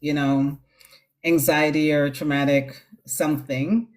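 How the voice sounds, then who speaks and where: A woman's voice: low voice, steady tone, measured pace, a little mellow. A woman hosting a casual live stream, giving spiritual guidance in a reassuring, chatty way. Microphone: phone on a live stream.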